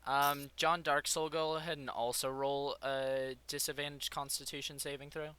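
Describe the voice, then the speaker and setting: A man's voice: on the high side, flat delivery, normal speed, bright, forceful tone, clean tone. A man running a tabletop game as game master, casual and hesitant.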